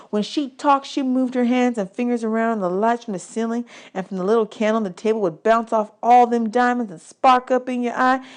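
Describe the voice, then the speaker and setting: A woman with a somewhat high-pitched voice reads a children's novel aloud. She delivers the first-person narration expressively, in the way of a teacher reading to pupils. Key words are drawn out for emphasis.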